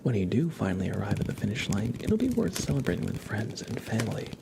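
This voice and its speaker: A soft-spoken man whispering gently and politely in a casual ASMR livestream, chatting with viewers and reading cards. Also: fairly low pitch, big pitch swings, fast, creaky, very noisy.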